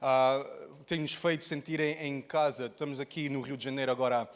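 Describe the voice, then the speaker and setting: A man's voice: medium pitch, flat delivery, brisk, smooth. A man from Portugal with a European Portuguese accent, opening a sermon playfully and speaking straight to the congregation. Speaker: guest preacher. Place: large church hall. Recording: long hall reverb in a church.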